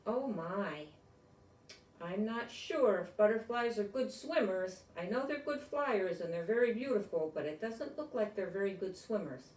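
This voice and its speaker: Older lady reading a kids book aloud, slow n gentle, chatty asides, echoey room. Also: mid-range voice, expressive. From United States. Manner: gentle, simple grandmotherly explaining to children. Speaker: storyteller reading a picture book. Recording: roomy echo, distant microphone.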